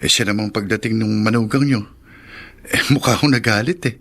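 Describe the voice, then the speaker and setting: A man's voice: on the low side, fairly quick, fairly bright tone. An actor with a Filipino accent playing a polite, worried young man in a radio drama. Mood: worried, respectful. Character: polite, worried, apologetic young male former suitor.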